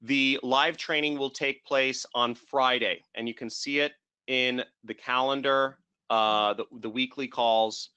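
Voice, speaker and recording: A man hosting a webinar Q&A over a desk mic on a video call. His voice is bright and forceful, and he answers in a brisk, assertive way. The sound is dry and close, with no room echo. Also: medium pitch, steady tone, clean tone. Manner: brisk, assertive, interrupts to wrap up. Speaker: course host answering student questions. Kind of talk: live webinar Q&A.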